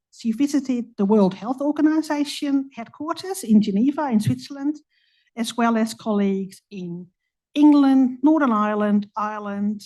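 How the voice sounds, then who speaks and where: A woman's voice: a little high, mellow, poor audio. A woman with a Dutch accent, hosting an evening talk and introducing the guest speaker with light asides. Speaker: event host introducing the speaker.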